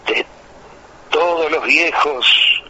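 A man reads poems over a telephone line that sounds thin and tinny, with a faint hiss behind him. His delivery is unhurried and earnest, and it lingers on each line. His voice is bright and somewhat higher than usual. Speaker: radio caller reciting poetry. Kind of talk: slow, emotive poetry recitation.

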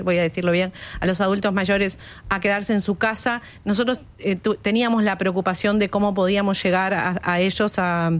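A woman, a guest on a radio interview, eagerly telling how a project began. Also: mid-range voice. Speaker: librarian guest.

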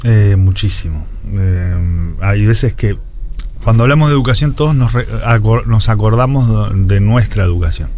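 A man's voice: fairly low pitch, moderate pace, a little mellow. A man in his forties with a Uruguayan accent, giving explanatory answers as an interview guest, often repeating words as he searches for phrasing. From Montevideo, Uruguay. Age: 40s. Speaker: interview guest, education official.

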